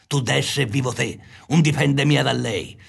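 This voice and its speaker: A man's voice: medium pitch, moderate pace, creaky, slightly rough. An actor with a Tuscan accent playing a boastful, lovestruck, fearless man in a colloquial radio play. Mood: proud, insistent.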